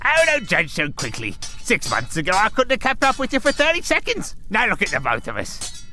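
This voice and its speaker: A woman playing a plucky young vampire hunter in an audio drama, brash, teasing and excitable. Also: mid-range voice, fairly quick, faint music behind. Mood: boastful. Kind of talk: scripted audio roleplay drama.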